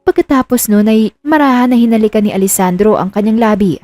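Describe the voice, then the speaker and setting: A woman's voice: a little high, quick pace, warm tone. A woman reading scripted narration of a romantic drama as the storyteller. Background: music.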